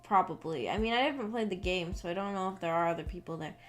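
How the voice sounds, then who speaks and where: A woman's voice: fairly low pitch, fast talker. A woman streamer chatting casually to viewers in a rambling, self-conscious, giggly way. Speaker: livestream host. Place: at home in her room. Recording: music playing behind the voice. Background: background music.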